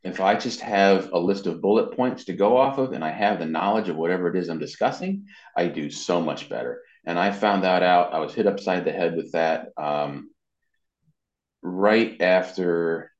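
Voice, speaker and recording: A man's voice: sits low, moderate pace. A man giving a casual, rambling interview as a guest, telling anecdotes about his work. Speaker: interview guest, voice actor and web designer. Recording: dull, roomy, lo-fi sound.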